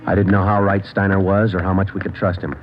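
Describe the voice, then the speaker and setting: An American man playing a no-nonsense, deadpan police sergeant and narrator in a vintage radio crime drama. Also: low-pitched, fast talker, background noise, lightly acted. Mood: deadpan narrating. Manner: deadpan, matter-of-fact. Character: no-nonsense male police sergeant, clipped and stern. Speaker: detective and narrator. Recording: muffled old radio recording with music.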